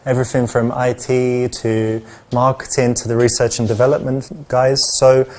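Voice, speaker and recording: An upbeat male office boss played by a man in a live-action game. He is encouraging and chummy, pitching things like a friendly salesman. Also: flat delivery, moderate pace, darkish tone, lightly acted.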